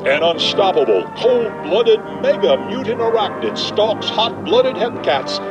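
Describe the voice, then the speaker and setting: A man's voice: high-pitched, very animated, unhurried, dull audio. A man playing a breathless 1950s movie trailer announcer, over-the-top vintage ballyhoo voice-over heard over music. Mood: lurid hype.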